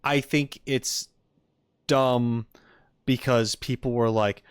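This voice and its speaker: A man's voice: mid-range voice, fairly even intonation, slow, clean tone, no echo, clean studio sound. A man doing casual livestream commentary as he reacts to a game, opinionated and emphatic, in an argumentative way. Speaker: streamer reacting to game voice lines.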